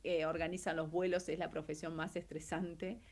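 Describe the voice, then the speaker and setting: A woman's voice: low voice, little pitch movement, moderate pace, darkish tone. Argentine woman recounting her career. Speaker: interview guest, actress and translator. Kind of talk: casual interview, telling career story.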